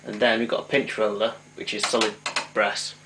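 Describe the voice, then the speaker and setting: A man's voice: on the low side, level tone, moderate pace. Man hesitantly explaining, noisy recording. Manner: hesitant, explanatory, often correcting himself. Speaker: vintage tape recorder hobbyist demonstrating his machine. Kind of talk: informal equipment demonstration. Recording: clearly noisy recording with clicks.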